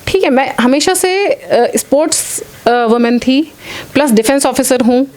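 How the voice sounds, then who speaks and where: A woman's voice: sits high, measured pace, a little mellow. A woman in her late forties answering interview questions earnestly, mixing English phrases into her speech. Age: late 40s. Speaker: interview guest, athlete and former air force officer.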